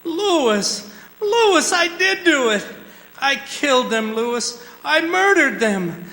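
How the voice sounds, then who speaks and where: Guilty, cowardly murderer male actor, piercingly high and sharp, frantic radio-drama pleading in an echoey hall. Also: sing-song, slow, exaggerated voice. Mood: broken confession. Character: guilty murderer, panicky and cowardly, confronted by ghosts. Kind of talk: theatrical radio drama acting. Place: performing arts center stage. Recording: echoey live hall, long reverb.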